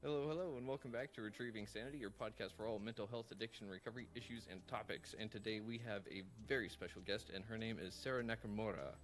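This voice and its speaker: A man's voice: fairly low pitch, fast, hardly any pauses, a bit bright. A man hosting a mental health podcast, introducing his guest and backing her up with frequent "mm-hmm"s. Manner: friendly, lots of "mm-hmm" backchannels. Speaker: podcast host.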